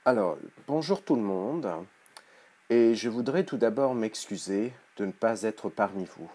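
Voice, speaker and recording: Hoarse sick guy, kinda low voice, recorded prof talk, lots of uh and restarts. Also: measured pace, mellow. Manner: hesitant, many fillers and restarts, critical lecturing tone. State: hoarse from flu. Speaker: university professor. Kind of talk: recorded conference presentation.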